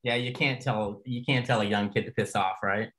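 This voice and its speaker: A man's voice: fairly low pitch, fairly even intonation, moderate pace, fairly bright tone. An older man hosting a motorsport podcast, introducing and questioning a guest in a rambling, folksy, joking way. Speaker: podcast host. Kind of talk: casual podcast interview.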